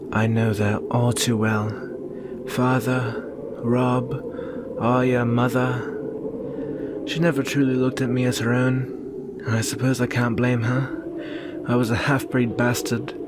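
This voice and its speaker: A man playing a brooding, protective young northern warrior and devoted lover in an earnest, solemn ASMR roleplay with measured pauses. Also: medium pitch, level tone, close to an everyday voice. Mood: sorrowful. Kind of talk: ASMR roleplay, intimate slow dramatic monologue. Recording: very noisy ambient background.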